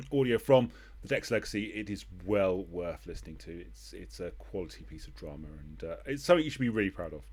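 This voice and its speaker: A man hosting a casual interview in an encouraging, appreciative manner. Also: lowish voice. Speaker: interview host.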